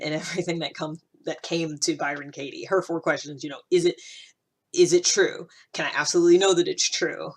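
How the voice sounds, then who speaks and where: A woman with a low-pitched voice speaks in a casual, reflective monologue. She hosts in a conversational way, leaning on key phrases and repeating them for emphasis. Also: a bit bright, husky. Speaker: host of a personal development podcast.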